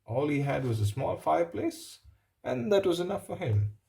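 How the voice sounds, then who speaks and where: A man reading a picture book aloud, pausing for simple explanatory asides and checking in with the listener. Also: on the high side, very animated, vocal fry, dark tone. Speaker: storybook reader.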